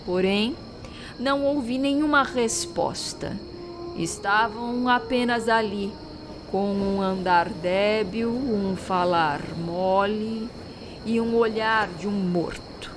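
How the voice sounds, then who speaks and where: Woman acting elderly female storyteller, ominous, measured, unhurried audio-drama delivery, eerie sea tales, clearly noisy backdrop. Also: medium pitch, close to an everyday voice. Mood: ominous, mysterious. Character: very old street woman telling eerie sea legends.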